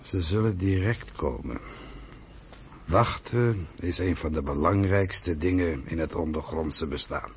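An actor playing a weary, wise old resistance fighter, measured and patient, in a radio drama. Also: male voice, low-pitched. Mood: patient, reflective. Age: older. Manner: measured, reflective, calming. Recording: noisy old radio play recording.

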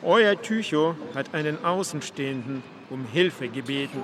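Bedrohlicher Bandenboss, eher helle Tonlage. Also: male voice, fairly high pitch, animated, measured pace, crowd noise. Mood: accusing. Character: menacing, controlled male gang boss dictating terms. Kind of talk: German radio crime drama.

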